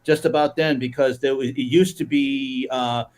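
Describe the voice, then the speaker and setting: A man's voice: medium pitch, fairly even intonation, moderate pace, darkish tone. A man appearing as a guest on a sports podcast, talking eagerly with frequent stumbles and restarts. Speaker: guest commentator.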